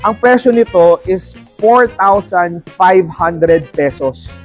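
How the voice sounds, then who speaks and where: High-pitched playful Filipino man over music. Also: expressive, slow pace, muffled recording. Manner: playful, salesman-like, code-switches into English terms. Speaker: vlogger presenting a product unboxing. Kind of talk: casual product review chat.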